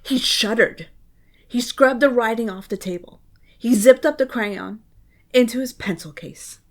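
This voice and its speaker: A woman reading a spooky children's story aloud, expressive and suspenseful, with dramatic hushed moments. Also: fairly high pitch, a little slow. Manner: expressive, suspenseful, often dropping to a dramatic whisper. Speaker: children's book reader.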